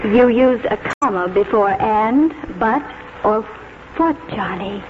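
High-voiced, tender schoolteacher Miss Bishop. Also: female voice, takes it slowly, noisy background. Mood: patient, instructing. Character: kindly, reminiscing spinster schoolteacher, Miss Bishop. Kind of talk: 1940s radio acting. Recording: tinny 1940s radio, loud music behind.

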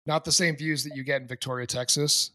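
A man's voice: fairly high pitch, steady tone, brisk, bright, forceful tone, dry recording, studio quality. A man hosting a podcast interview, asking rambling, chatty questions. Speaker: podcast host. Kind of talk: casual interview.

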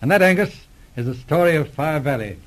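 An actor playing a brisk, commanding Mountie sergeant with a husky voice in a 1940s radio adventure. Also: male voice, on the high side, lively intonation, natural voice. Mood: reflective storytelling. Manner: decisive, exclamatory. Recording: old 1940s radio recording.

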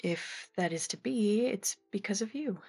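Woman playing a breathy, gentle young lady. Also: mid-range voice, animated, moderate pace. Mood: soft, tender. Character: gentle young Victorian lady, sweet, modest. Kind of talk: dramatised mystery audio drama.

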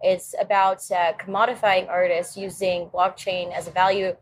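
A woman, a company founder, giving measured explanatory interview answers. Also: on the low side, level tone, slight background noise, rough recording. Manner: measured, explanatory, some fillers. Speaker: founder and CEO, interview guest.

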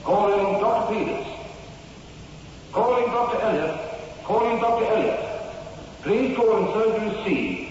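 High-pitched hospital tannoy caller, heavily echoing. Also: male voice, expressive, measured pace, pauses often, darkish tone, a little hiss or hum. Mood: insistent. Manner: formal, repetitive calling. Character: impersonal hospital announcer paging doctors. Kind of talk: 1960s radio drama acting. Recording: echoing distant tannoy, long reverb, muffled.

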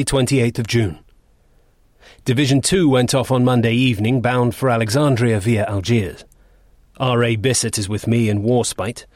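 A wartime British navy captain read by a man sets down his diary in short, clipped phrases. The voice sits at a middling pitch and is recorded very dry. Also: a little mellow, no room sound, natural voice. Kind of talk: dramatised diary reading.

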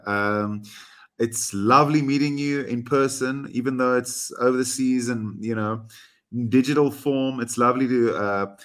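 A young South African man whose first language is Afrikaans, talking as a guest in an interview in a polite, grateful way, with frequent ums. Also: mid-range voice. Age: young adult. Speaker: guest actor. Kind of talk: interview conversation. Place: recorded from home over a video call.

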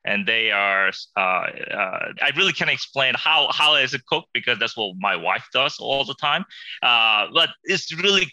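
Bright-voiced man, halting speech. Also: mid-range voice, animated, no room sound. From Korea. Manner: halting, searching for words, chuckles often. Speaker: guest. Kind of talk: casual interview chat.

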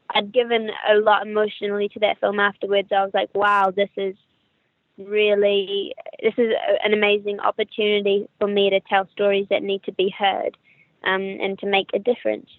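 A woman's voice: medium pitch, no echo. A young woman with a New Zealand accent, an actress chatting in a friendly interview over a remote call. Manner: friendly, chatty, with restarts and laughs. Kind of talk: casual interview chat. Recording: tinny, dry call audio.

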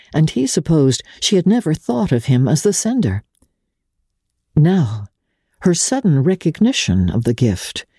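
A woman giving a dramatic reading of literary fiction as an audiobook narrator, with measured, expressive and deliberate phrasing. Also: low-pitched, slightly rough, no room sound, clean studio sound.

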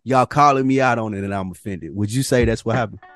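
Animated, swearing podcast co-host man. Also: lowish voice, fast talker, faint music behind. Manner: animated, swears a lot, sing-song when worked up. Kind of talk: casual chat.

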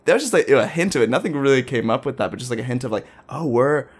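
A man's voice: medium pitch, lively intonation, fast, slightly rough. A young man from Ohio, telling a rambling personal story in a casual, self-deprecating way. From Dayton, Ohio, US.

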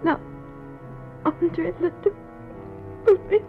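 A woman's voice: very high voice, steady tone, slow pace, full character voice. A woman playing a dying wealthy widow, gasping and fading as she speaks in a 1940s radio drama. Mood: agonized. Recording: muffled old radio, music behind.

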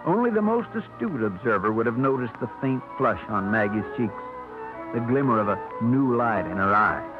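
A man narrating a 1950s radio drama in a measured, portentous way, on a thin old radio recording. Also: mid-range voice, animated, phone-quality sound. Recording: thin old radio broadcast over music.

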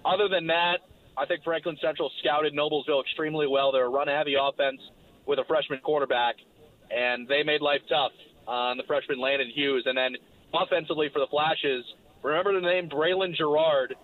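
A man, slightly hoarse, giving a brisk recap of a football game as its broadcaster. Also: fairly high pitch, steady tone. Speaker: play-by-play broadcaster. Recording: phone line.

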